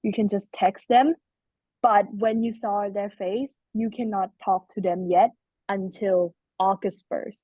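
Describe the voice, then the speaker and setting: A young woman whose first language is Thai, answering questions in a webinar with frequent ums and pauses. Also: medium pitch, fairly even intonation, dark tone, breathy edge, no room sound. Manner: hesitant, many ums. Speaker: student guest speaker. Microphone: video call. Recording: muffled video call audio.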